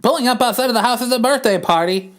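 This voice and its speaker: A man's voice: extremely high pitch, fast, a bit bright. A man narrating stage directions aloud for an amateur comic reading on a podcast.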